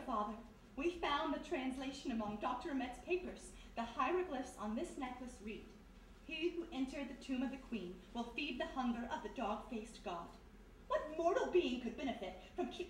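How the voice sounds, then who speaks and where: An actress playing a clinging, despairing society daughter with a theatrical, plaintive delivery in a live radio mystery drama. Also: female voice, high voice, expressive, moderate pace. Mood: accusing. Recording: very echoey hall, distant mic, faint noise.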